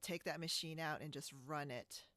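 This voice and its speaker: A woman hosting a podcast in casual, informal conversation, chatty and quick to giggle. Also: low voice, sharp tone, dry recording. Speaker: podcast host. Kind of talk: casual chat about sewing. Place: indoors, split-screen video call.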